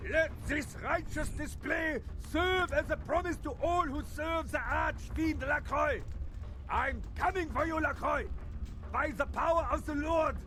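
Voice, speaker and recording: An actor playing a fanatical, righteous vampire hunter in a video game, preaching in a grandiose, menacing manner. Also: male voice, very high voice, measured pace, bright, forceful tone, a little hiss or hum, exaggerated voice. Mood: righteous fury. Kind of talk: theatrical video game voice acting. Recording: game audio under clear music.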